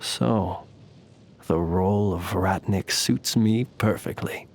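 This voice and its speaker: A man voicing a calm, wry, ancient fae lantern keeper for a video game, speaking in formal, measured, theatrical phrases. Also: deep voice. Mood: dignified. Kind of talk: scripted video game character voice lines.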